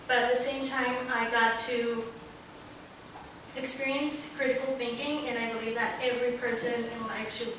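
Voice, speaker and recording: Student panelist, young Mexican woman, earnest and halting, recorded far off with audible room noise. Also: a little high, little pitch movement. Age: young adult. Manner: earnest, searching for words with many pauses. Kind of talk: panel talk at a book launch. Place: large echoey library hall. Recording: echoey hall, distant, room noise.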